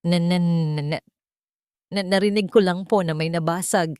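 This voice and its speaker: A woman narrator plays a timid young wife in an audiobook drama. She is hesitant and shaky, stumbling meekly over her words. Her voice sits low and the recording is dry. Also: steady tone, moderate pace, darkish tone, clean tone, no room sound, lightly acted. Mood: nervous.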